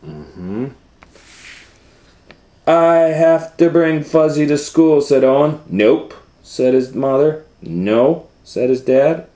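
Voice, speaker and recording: A middle-aged man reading a picture book aloud for children, dropping to whispers and rising to loud excited voices for the story. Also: a little high, takes it slowly, reverberant. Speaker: storytime reader.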